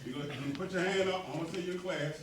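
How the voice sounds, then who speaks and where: A high-voiced man leads a community meeting in a roomy hall, urging the crowd with emphatic, rising repetition. He sounds a little off the mic, with a faint hum of the room behind him. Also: fairly even intonation, racing, recorded from a distance. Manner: emphatic, preacher-like, rising to near shouts. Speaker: association president hosting a meeting. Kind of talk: animated speech to a community meeting.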